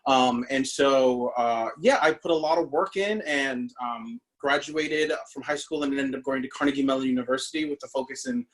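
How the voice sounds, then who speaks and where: A man from the Bahamas talks through his path as a stage actor in a loose, chatty way. His voice sits at an ordinary middle pitch, and he fills his sentences with fillers and restarts. He is heard over a video call. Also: moderate pace, hardly any pauses. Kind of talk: casual interview storytelling.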